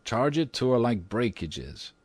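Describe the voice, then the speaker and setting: A man voicing a calm, practical diplomat and family man in an audiobook, with a deadpan, unflappable manner. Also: medium pitch, measured pace, dry recording, pitched above the performer's own voice. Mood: dry, unconcerned. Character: calm, practical American minister, unflappable husband and father. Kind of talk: audiobook character voice.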